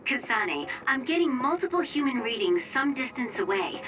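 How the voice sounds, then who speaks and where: A woman's voice: a little high, sing-song, moderate pace, a bit bright, distant mic, soft background music. A woman playing an earnest, composed young support operator in an English video game dub. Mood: alert, informative.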